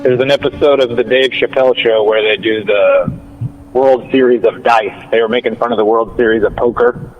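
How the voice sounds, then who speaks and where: A man's voice: medium pitch, steady tone, moderate pace, phone-quality sound. A man chatting casually as a guest on an online talk show. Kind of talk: casual chat.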